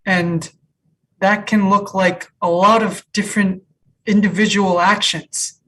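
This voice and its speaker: A woman acting an easygoing, frank, teasing co-op employee in a comedy table read, with a deadpan, measured delivery. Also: mid-range voice, poor audio. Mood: earnest, thoughtful. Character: easygoing, frank, teasing new co-op hire Noah.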